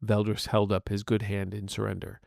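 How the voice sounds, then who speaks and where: A man's voice: fairly low pitch, monotone, normal speed, creaky, darkish tone, no room sound, clean studio sound. A man speaking as the host and narrator of a fiction podcast. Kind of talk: scripted story narration and informal author's notes.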